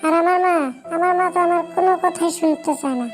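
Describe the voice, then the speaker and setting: A woman's voice: extremely high pitch, steady tone, quick pace, faint music behind. A woman voicing a cheeky, argumentative cartoon schoolboy with an eastern Bengali accent, always pleading and making excuses. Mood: sulky. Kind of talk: comic cartoon dialogue.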